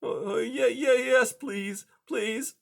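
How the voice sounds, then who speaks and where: Woman doing a high, stammering, terrified little mouse. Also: vocal fry, heavily acted. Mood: pleading. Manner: stammering, whimpering, halting. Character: small, terrified, pleading male mouse. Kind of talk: children's storybook character voice.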